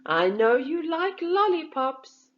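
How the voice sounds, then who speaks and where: A woman voicing an expressive, sociable older sister in a children's storybook, on a slightly dull recording. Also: high-pitched, takes it slowly, voice pushed up, full character voice. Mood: grateful.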